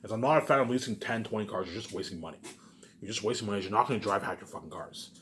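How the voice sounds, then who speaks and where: A man's voice: fairly low pitch, racing, fairly bright tone, slight background noise. A man giving a rambling, casual solo monologue, emphatic and repetitive, often tagging his points with rhetorical questions. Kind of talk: rambling casual monologue about personal plans.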